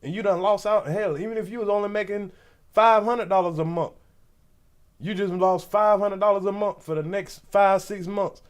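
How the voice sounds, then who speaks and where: A man's voice: high-pitched, steady tone, normal speed, smooth. Man, forties, stuffy nose, casual slangy livestream talk, chats to viewers, home webcam. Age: 40s. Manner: loose, rambling, slangy, talks straight to viewers. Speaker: commentary channel host.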